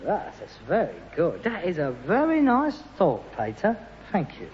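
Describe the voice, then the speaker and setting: Pompous flustered london son, sitcom style, highish voice, echoey hall sound. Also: male voice, huge pitch swings, normal speed. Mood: awkward, polite. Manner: hesitant, stammering, indignant. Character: put-upon, pretentious adult son, flustered and suspicious. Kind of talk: British sitcom acting. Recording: muffled, noisy archive recording, echoey hall.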